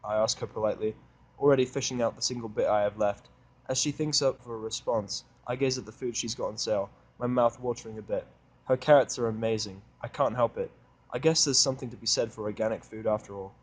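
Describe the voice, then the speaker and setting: A man's voice: fairly low pitch, steady tone, quick pace. A man narrating a first-person story in a dramatized, sardonic, grumbling manner. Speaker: fan fiction reader and narrator.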